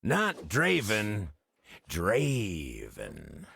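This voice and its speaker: An actor playing a boastful, flamboyant, self-obsessed executioner in a video game, with a cocky, theatrical, drawn-out delivery. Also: male voice, on the low side, huge pitch swings, gravelly, sharp tone, faint music behind, heavily acted. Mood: smug.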